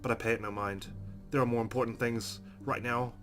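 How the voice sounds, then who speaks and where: A man's voice: mid-range voice, fairly even intonation, fairly quick, a bit bright. A man hosting a let's play, reading visual novel narration aloud in a dramatic way. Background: game music.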